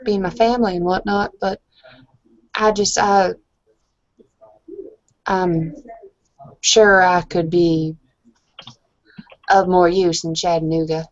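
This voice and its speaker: A woman playing a shy, embarrassed woman in a tabletop roleplay, speaking haltingly, with hesitant pauses. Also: on the low side, steady tone, no echo, dull audio. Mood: sad, hesitant. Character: shy, embarrassed woman saying goodbye to friends.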